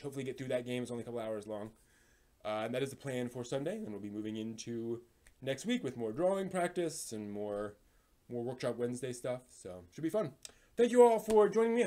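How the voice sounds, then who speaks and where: A man's voice: mid-range voice, a little mellow. A man hosting a live stream in casual chat, hesitant and rambling, often trailing off.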